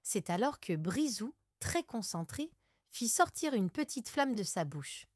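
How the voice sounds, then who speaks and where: A woman's voice: mid-range voice, big pitch swings, unhurried, no echo, clean studio sound. A woman reading a scripted children's story as a storyteller.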